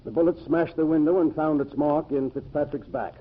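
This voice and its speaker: A man's voice: on the high side, brisk, breathy edge, phone-quality sound. A man playing a formal, self-important county sheriff in a 1940s radio drama, precise and stiff in speech. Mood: businesslike. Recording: thin, band-limited old radio sound.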